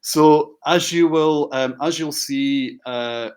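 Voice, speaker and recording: A man's voice: on the high side, takes it slowly, clear, resonant tone. A man speaking as a company director presenting a product, in a conversational, hesitant way with repeated words.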